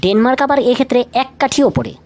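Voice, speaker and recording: A woman's voice: a little high, very animated, fast, a little mellow, a little hiss or hum. A woman presenting a scripted, light trivia feature, speaking directly to the listener with rhetorical questions. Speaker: lead narrator of a feature video.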